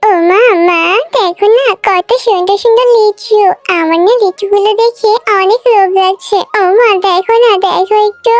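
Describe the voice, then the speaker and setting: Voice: very high voice, moderate pace, hardly any pauses, no room sound, faint music behind. A performer voicing an insistent, pleading little girl in a children's cartoon, wheedling and repeating herself. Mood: eager begging. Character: pleading, insistent little girl begging her mother for treats.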